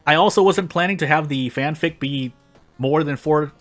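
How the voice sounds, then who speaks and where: A man's voice: a little high, normal speed, faint music behind. A man doing casual gaming video narration and commentary in a rambling, self-deprecating way. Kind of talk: casual gameplay commentary and fanfic reading.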